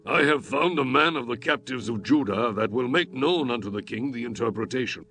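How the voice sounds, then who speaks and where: An ancient royal officer in a Bible drama, announcing formally to his king. His pitch is medium, with faint music behind. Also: expressive, brisk, fairly bright tone. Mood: eager, formal. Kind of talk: dramatized scripture reading.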